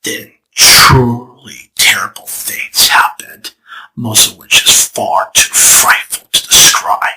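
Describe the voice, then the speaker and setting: A man's voice: on the low side, pushed and bright, exaggerated voice, poor audio. A man voicing a scheming, theatrical male chaos spirit in a fan comic dub. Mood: solemn storytelling. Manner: dramatic, teasing, slow and deliberate. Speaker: storyteller figure.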